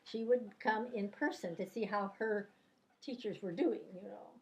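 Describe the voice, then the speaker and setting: A woman's voice: medium pitch, normal speed, low-quality recording. An older woman, a retired teacher, reminiscing about her life in a casual interview, telling rambling stories full of fillers and restarts. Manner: rambling anecdotal storyteller, many fillers and restarts.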